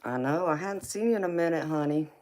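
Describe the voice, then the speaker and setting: A woman in her forties with a light Southern twang chats with her livestream viewers. Her voice sits remarkably low for a woman. She talks in a relaxed, folksy way and calls everyone baby and honey. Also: quick pace. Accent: Southern American. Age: 40s. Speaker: tarot reader answering live chat. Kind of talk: casual livestream chat.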